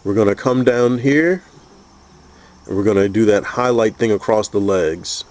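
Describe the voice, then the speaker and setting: A man with a stuffy, congested voice from a cold, calmly explaining a painting technique step by step with frequent restarts. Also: lowish voice, moderate pace. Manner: calm, methodical, with frequent restarts. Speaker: custom figure painter teaching. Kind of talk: painting tutorial narration.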